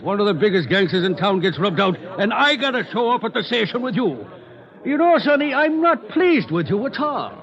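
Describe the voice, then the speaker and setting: Gruff gravelly radio cop. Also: male voice, high voice, very animated, a little fast, a crowd in the background. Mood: gruff, irritated. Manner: bossy, brusque. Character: gruff, impatient male police officer. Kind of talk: 1940s radio drama acting. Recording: muffled old broadcast, loud street and siren.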